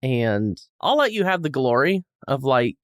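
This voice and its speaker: A man's voice: mid-range voice, sing-song, a little slow, clear, resonant tone, dry recording, clean studio sound. An American man as a podcast guest, chatting casually and joking with playful exaggeration in loose, conversational phrasing.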